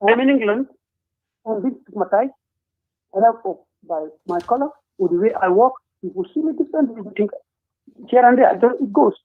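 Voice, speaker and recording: High-voiced man over a tinny phone line. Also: lively intonation, husky. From Gambia. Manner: earnest, deliberate, frequent hesitations. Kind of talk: political discussion.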